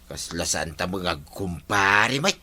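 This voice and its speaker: Careless neighbour, Ilocano accent, lively swooping pitch, forceful bright voice, pleading and bantering, radio drama. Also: male voice, measured pace, heavily acted. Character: careless, pleading male neighbour who borrows without returning.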